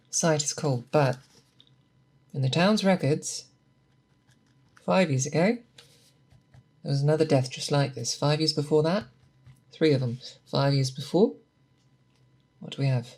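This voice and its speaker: A patient, methodical monster hunter, as an ASMR performer plays the part, mentoring an apprentice. The voice is mid-low and androgynous, with very slow, deliberate delivery that coaxes with questions. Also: darkish tone. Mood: calm, focused. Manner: unhurried, deliberate, coaxing with questions. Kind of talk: ASMR roleplay, scripted character monologue.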